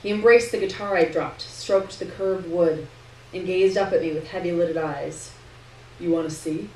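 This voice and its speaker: A woman's voice: lowish voice, moderate pace, a little mellow. A woman reading a literary story aloud at a live event indoors. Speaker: story reader. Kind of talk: literary narration read aloud to an audience. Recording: roomy distant live recording, noticeable hiss.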